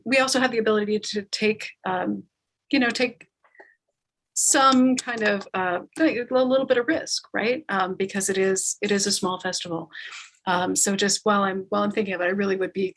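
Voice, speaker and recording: A woman's voice: medium pitch, a bit bright. A woman hosting a live online talkback, talking in a hesitant, discursive way and often checking in with "right?". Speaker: festival organiser and talkback host. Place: remote online call.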